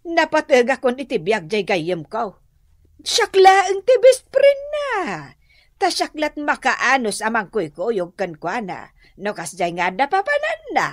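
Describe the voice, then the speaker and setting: High, swooping Ilocano comic schemer. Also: female voice, huge pitch swings, no echo, clean studio sound, exaggerated voice. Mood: defiant, boastful. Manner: exaggerated, drawn-out, giggly delivery. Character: scheming, effusive woman borrower, fond of gambling. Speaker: radio drama actress. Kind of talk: Filipino radio comedy drama acting.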